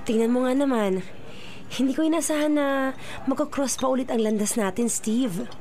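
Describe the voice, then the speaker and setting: A woman's voice: sits high, moderate pace, warm tone, soft background music. A Filipino actress playing a friendly, nostalgic former girlfriend in a radio drama. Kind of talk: Philippine radio drama acting. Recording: radio drama with background noise.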